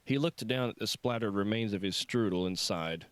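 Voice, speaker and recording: A man's voice: on the low side, moderate pace, a bit bright, no room sound. A man reading scripted fiction as an audiobook narrator.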